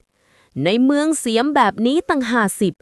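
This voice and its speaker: An eager, simple older farmer from a village tale, played by a woman. The voice is excitable and fairly high, with big swings in pitch. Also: measured pace, dry recording, clean studio sound. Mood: delighted.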